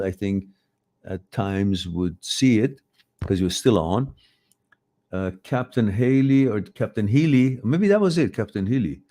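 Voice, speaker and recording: A man's voice: mid-range voice, darkish tone. A middle-aged man, a Farsi speaker, hosting a live advice stream and reading out viewer questions, rambling and often correcting himself. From Iran. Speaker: host.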